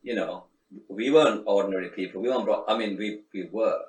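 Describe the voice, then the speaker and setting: A man's voice: lowish voice, fast talker. A middle-aged man from Sri Lanka, talking in a reflective, casual interview with many restarts and fillers. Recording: echoey room, faint background noise, mic at a distance.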